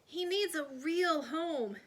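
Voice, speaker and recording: A woman's voice: very high voice, slow, a little hiss or hum, voice pushed up. A woman voicing an earnest, kind little girl who pleads stubbornly, in a storybook read-aloud. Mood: earnest pleading.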